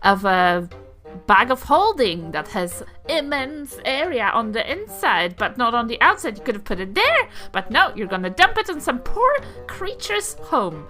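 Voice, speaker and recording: Woman acting as stern woman adventurer, New Zealand accent, very high bright voice, swooping pitch, prim, emphatic, lecturing, tabletop game. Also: sing-song, a little slow, faint music behind, heavily acted. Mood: indignant scolding. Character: stern, scolding, politely indignant woman adventurer.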